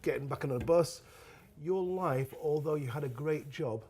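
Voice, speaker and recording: A middle-aged man presents a promotional interview show. His voice sits a little high for a man. He talks in a jovial, bantering manner. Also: moderate pace, a little mellow, breathy edge. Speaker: shopping show host.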